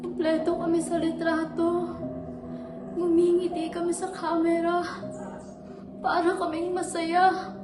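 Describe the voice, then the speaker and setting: A young woman acting a lonely daughter who feels ignored by her family, speaking slowly and bitterly. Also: extremely high pitch, completely flat delivery. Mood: wistful. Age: young adult. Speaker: student actor. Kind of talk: stage monologue. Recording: echoey room with background music.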